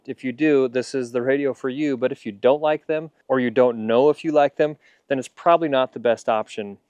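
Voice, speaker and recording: An American man in his thirties presents a casual gear review in a smooth, clear voice. He explains things conversationally, and the sound is dry and close, with little room echo. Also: medium pitch, a little mellow. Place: outdoors in a leafy backyard.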